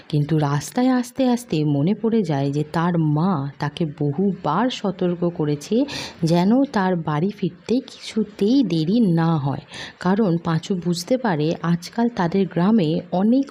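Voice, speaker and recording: A woman narrating a children's cartoon story in a steady storytelling manner. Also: on the low side, normal speed, slightly rough.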